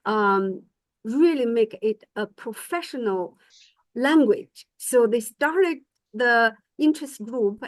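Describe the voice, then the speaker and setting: Middle-aged woman, native Chinese, presenting to faculty; slow and halting, slightly higher voice. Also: no room sound. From China. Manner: hesitant, many fillers and restarts. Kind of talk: conference presentation.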